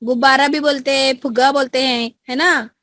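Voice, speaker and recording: A woman's voice: high voice, fairly even intonation, normal speed, fairly bright tone, no room sound, studio quality. A woman teaching an online class to schoolchildren over a video call, coaxing them and repeating her prompts, with an Indian accent.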